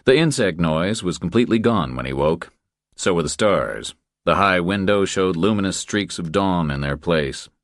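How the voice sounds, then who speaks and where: A man with a low, deep voice narrates a thriller audiobook. The delivery is scripted storytelling, and the recording is dry, with no room sound around the voice. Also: animated, normal speed, dry recording.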